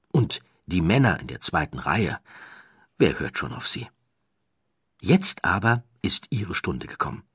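A man's voice: low-pitched, a bit bright, slightly rough, no room sound, studio quality. A man narrating an audiobook, telling the story dramatically with rising and falling emphasis.

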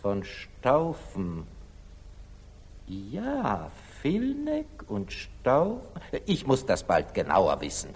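A wise, wealthy merchant in a classical 1940s radio play. His delivery is slow and reflective with gentle irony, swinging widely in pitch, and the voice has a husky grain. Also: huge pitch swings, heavily acted. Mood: pensive. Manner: measured, reflective, gently ironic. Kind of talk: 1940s German radio drama acting, classical stage diction. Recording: 1940s radio recording, at times reverberant.

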